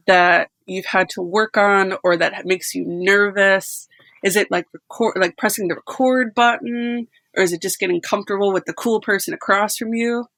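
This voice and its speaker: A woman's voice: mid-range voice, fairly bright tone, no echo. A young woman, a podcast sound designer, chatting casually and laughing easily.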